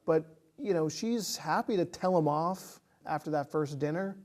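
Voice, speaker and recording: A man's voice: fairly high pitch, moderate pace, a little mellow. A man co-hosting a casual film discussion, feeling his way through thoughts with repeated phrases. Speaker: podcast co-host.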